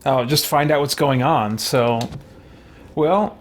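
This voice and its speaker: A man's voice: medium pitch, slow pace, a little hiss or hum. A man giving casual, unscripted let's-play commentary, hesitant and thinking aloud to himself as he plays. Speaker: gaming host playing and commenting on a role-playing game.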